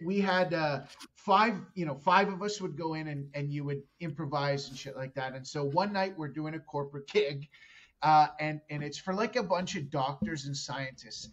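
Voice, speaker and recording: A man's voice: on the high side, muffled recording. Rambling profane man. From Canada. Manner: rambling, profane, self-interrupting storyteller. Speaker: comedian guest. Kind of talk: casual podcast storytelling.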